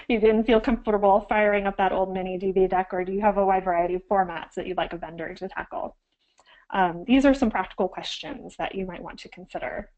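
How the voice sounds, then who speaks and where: A woman's voice: medium pitch, darkish tone, lo-fi sound. Woman with a cold giving a webinar. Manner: frequent "um"s and self-corrections. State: getting over a cold, slightly froggy. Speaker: archivist presenting a webinar. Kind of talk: instructional webinar talk.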